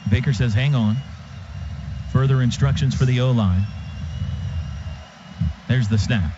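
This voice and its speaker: A man doing live radio play-by-play of a football game in clipped phrases that can turn excited. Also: medium pitch, a little slow. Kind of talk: live American football commentary. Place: stadium radio booth. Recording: noisy, muffled stadium radio broadcast.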